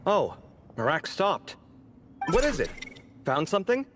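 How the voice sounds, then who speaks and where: An animated, exasperated young man. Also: high voice, a little slow, faint music behind, full character voice. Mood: eager. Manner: expressive, griping, theatrical. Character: exasperated, animated young male desert expedition worker. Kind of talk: video game voice acting.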